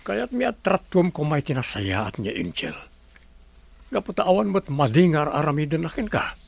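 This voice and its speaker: An actor with a Filipino accent playing a stern but fair middle-aged father in a radio drama, with a gravelly voice. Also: a little high, very animated, normal speed, exaggerated voice. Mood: admonishing.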